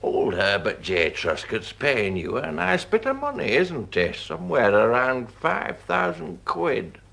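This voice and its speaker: An actor playing a chummy, crafty private enquiry agent with a Northern English accent and chatty, hesitant, colloquial speech in a radio detective drama. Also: male voice, medium pitch, huge pitch swings, a little slow, creaky, fairly bright tone, full character voice. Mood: sly, confiding.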